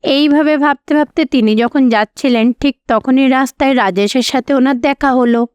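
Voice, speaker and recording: A woman's voice: high-pitched, normal speed, darkish tone, smooth, dry recording, dull audio. A woman storyteller reading scripted narration for a cartoon moral tale.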